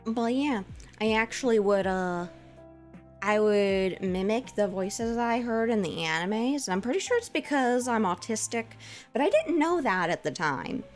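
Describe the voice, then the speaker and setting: A woman's voice: expressive, moderate pace, over music. A woman in her twenties chatting casually as a VTuber streamer, in a lighter, put-on feminine voice, rambling with fillers and giggles. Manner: puts on a lighter, feminized voice above her own low one. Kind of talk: casual live-stream chat with viewers.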